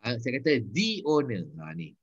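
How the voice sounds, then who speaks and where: A man's voice: medium pitch, huge pitch swings, a little fast, a little hiss or hum. Malaysian man in his fifties teaching English. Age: 50s. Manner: patient, coaching, switches between Malay and English. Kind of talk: online conversation lesson. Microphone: video call. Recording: slightly dull video call audio.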